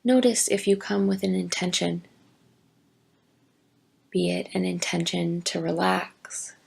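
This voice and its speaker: A woman teaching yoga nidra, giving gentle, deliberate guided meditation instructions with long pauses. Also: fairly low pitch, fairly even intonation, vocal fry, husky. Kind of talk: slow guided meditation narration.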